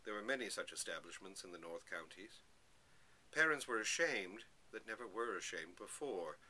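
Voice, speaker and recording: A man's voice: fairly low pitch, bright, forceful tone. A man giving a dramatic, emphatic reading of a Victorian literary lecture in an oratorical manner. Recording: hissy, noisy recording.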